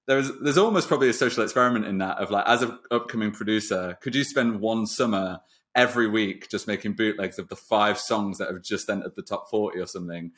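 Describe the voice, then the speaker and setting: A man with a fairly low voice hosts a casual podcast. He talks quickly and at length, in a discursive, conversational way, building up slowly to each point through asides. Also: a bit bright. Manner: wordy, discursive, long run-up to questions. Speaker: podcast host. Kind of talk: casual podcast interview chat.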